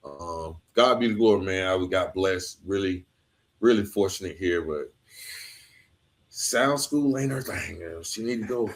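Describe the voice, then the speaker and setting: A man's voice: sits low, unhurried. A man hosting a podcast and interviewing a guest in an informal, conversational way, often turning aside to speak to listeners.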